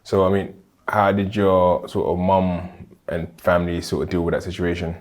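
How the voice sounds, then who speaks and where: A man's voice: sits low, flat delivery, normal speed. A man, hosting a podcast in a casual interview style. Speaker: podcast host.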